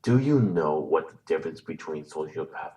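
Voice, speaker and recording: A man playing a menacing puppet mastermind in a horror audio drama, slow, deliberate, formal and coldly moralizing. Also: extremely low pitch, lively intonation. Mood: calm taunting. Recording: distant voice under loud music.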